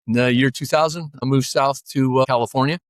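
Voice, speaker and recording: A man's voice: medium pitch, fairly even intonation, fairly quick, no echo, studio quality. A man in his sixties, a podcast guest, talking casually and rambling with many fillers and restarts. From United States. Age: 60s. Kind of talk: casual interview conversation.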